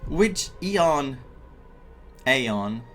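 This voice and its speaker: A man's voice: mid-range voice, sing-song, extremely slow, a bit bright, faint music behind. A man who streams games, giving live reaction commentary in short, dramatic interjections. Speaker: gaming streamer.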